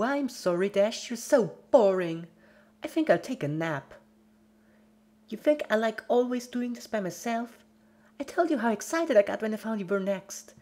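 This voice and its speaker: A woman's voice: a little high, darkish tone, voice pushed up, lightly acted. A woman voicing a manic, cheerful young female pony villain who chatters in a bubbly way and scolds petulantly.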